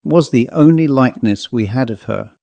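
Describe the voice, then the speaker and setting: A man voicing a gentle young woman, plaintive and anxious, in a ghost story. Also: mid-range voice, normal speed, creaky, no echo, natural voice. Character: sweet-faced young woman, gentle, plaintive, anxious about her father.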